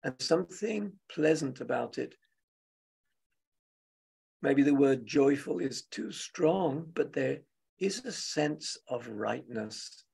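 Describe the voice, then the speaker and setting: A man guides a meditation in a very husky, breath-worn voice with heavy creak. He speaks gently and very slowly, leaving long pauses between short phrases, as a teacher feeling out each thought. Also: medium pitch, expressive. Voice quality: husky, gravelly. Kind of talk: guided meditation, spoken softly and unscripted.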